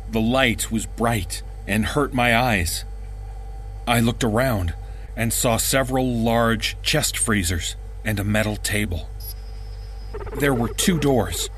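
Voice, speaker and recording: A man reading a first-person horror story in a measured, dramatic way, as an audiobook narrator. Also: mid-range voice, fairly bright tone, a little hiss or hum.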